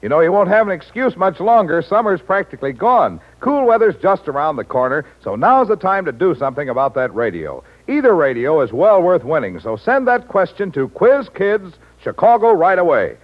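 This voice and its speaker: An American man announcing in the style of a 1940s radio sponsor pitch. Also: big pitch swings, moderate pace, hardly any pauses. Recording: dull vintage radio recording.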